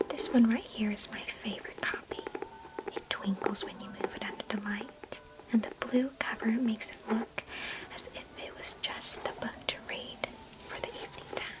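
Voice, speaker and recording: A woman playing a chatty, affectionate, nostalgic cousin in an ASMR roleplay, whispering gently in an unhurried, breathy way. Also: a little high, natural voice, rough recording. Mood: fond, gentle. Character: chatty, affectionate, nostalgic female cousin, former ballet student.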